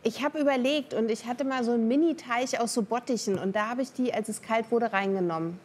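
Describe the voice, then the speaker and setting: Higher-voiced woman, casual quiz contestant. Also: fairly quick, a little mellow. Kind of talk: casual discussion.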